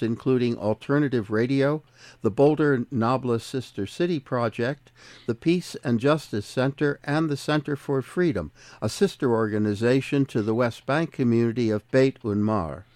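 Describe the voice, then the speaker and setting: Measured man hosting a panel. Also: medium pitch, darkish tone, no room sound. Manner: measured, formal introductions. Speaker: host. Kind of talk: radio panel discussion.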